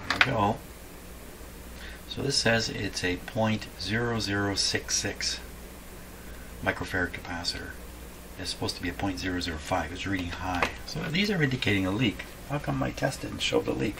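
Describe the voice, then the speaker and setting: A man with a deep voice talks through hands-on bench work as if thinking aloud. He is casual and unhurried, musing with a dry sense of humor. The recording has clear background noise, in an indoor home workshop. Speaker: host of a radio repair video.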